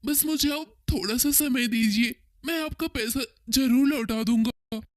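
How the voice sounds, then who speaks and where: A man plays a poor cartoon farmer deep in debt. The voice is very high for a man, in a dry close recording. He pleads with submissive deference. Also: a little slow, dry recording. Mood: pleading, anxious. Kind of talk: Hindi cartoon story dialogue.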